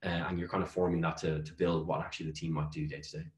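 A man's voice: very deep voice, little pitch movement, quick pace, a little mellow. A man in his thirties giving informal, emphatic advice as an interview guest on a video call. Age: 30s. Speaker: guest, customer success executive. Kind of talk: conversational interview answers. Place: small bare room at home, on a video call. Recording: echoey room on video call.

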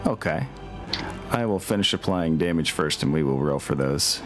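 A man's voice: very deep voice, animated, normal speed, music in the background. A man acting as game master, casually running an online tabletop game.

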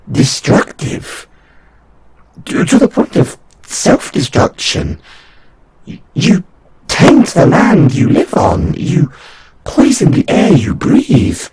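Man as a very slow, husky alien angel. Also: a little high, wildly swooping pitch, darkish tone, full character voice, poor audio. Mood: grave, persuasive. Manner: halting, solemn, drawn-out formal delivery. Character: serene, condescending alien angel envoy of an empire. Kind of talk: scripted audio roleplay monologue.

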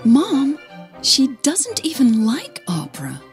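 A woman's voice: high voice, huge pitch swings, slow, faint music behind, voice pushed up, heavily acted. A woman voicing an anxious, exasperated young woman in an audio drama, emphatic with her mother.

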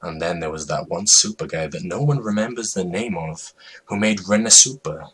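A man giving a theatrical, mock-serious dramatic reading of absurd fan fiction, swinging between hushed and shouted delivery. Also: sits low, normal speed, slightly rough, poor audio. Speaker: reader of a comic fan story.

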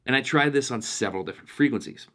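A man's voice: medium pitch, fast, fairly bright tone. A man talking casually through a product review in a blunt, opinionated way. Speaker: radio gear reviewer.

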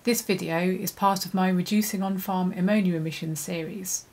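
A middle-aged woman, an environmental consultant, giving a measured, scripted educational presentation to camera in a studio. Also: fairly low pitch. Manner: measured, clear, steady delivery. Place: indoor studio, plain background.

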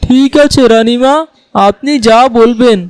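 A man's voice: very high voice, steady tone, unhurried, darkish tone, clear, resonant tone, no room sound. A man voicing an obsequious, scheming henchman of a wicked queen in a cartoon fairy tale. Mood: deferential.

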